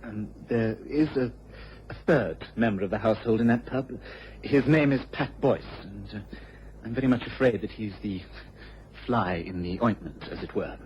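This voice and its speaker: An actor playing an earnest, baffled English police investigator in a radio drama, speaking haltingly and apologetically. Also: male voice, lowish voice, close to an everyday voice. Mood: earnest, hesitant. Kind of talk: British radio drama acting. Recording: dull old radio recording, clear noise.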